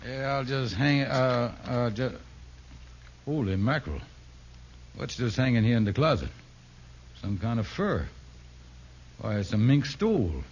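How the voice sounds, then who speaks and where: An actor playing a blustering, scheming husband who stammers in folksy, ungrammatical speech in a 1950s radio comedy. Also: male voice, medium pitch, animated, unhurried, pauses often. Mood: puzzled, suspicious. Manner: stammering, exaggerated folksy nonstandard grammar. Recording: muffled, hissy old radio broadcast.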